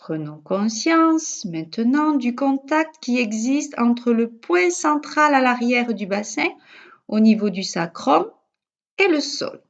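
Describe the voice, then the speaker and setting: Slow-paced woman guiding relaxation, slightly muffled. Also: on the high side. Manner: calm, measured, soothing instruction with pauses. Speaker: yoga teacher. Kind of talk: guided yoga nidra relaxation instruction.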